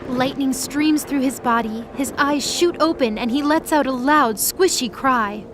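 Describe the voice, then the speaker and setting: A woman narrates a dramatic story from a script. Her voice sits somewhat high, and music is clearly heard behind her. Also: a little slow.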